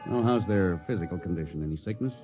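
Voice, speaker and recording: An actor playing a steady, commanding American starship captain in a 1950s radio drama. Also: male voice, low-pitched, fast talker, muffled recording, close to an everyday voice. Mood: concerned, subdued. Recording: vintage 1950s radio recording, music behind.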